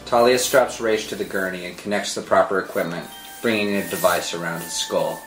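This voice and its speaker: Male narrator for script read: dramatic delivery, deep register, roomy sound, score behind. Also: steady tone, a little fast, fairly bright tone. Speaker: narrator reading stage directions. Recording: roomy sound with music underneath.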